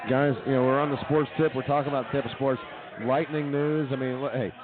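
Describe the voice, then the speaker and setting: A man's voice: medium pitch, steady tone, a little fast. A man hosting a live sports talk radio show with running commentary from a brewery. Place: brewery venue, live broadcast. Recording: thin phone-like sound with crowd chatter.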